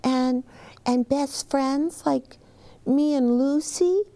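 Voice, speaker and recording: A woman's voice: high-pitched, very slow, mellow, voice pushed up, full character voice. A woman voicing curious, anxious young twins in a storybook, with earnest childlike questioning. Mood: curious, wondering.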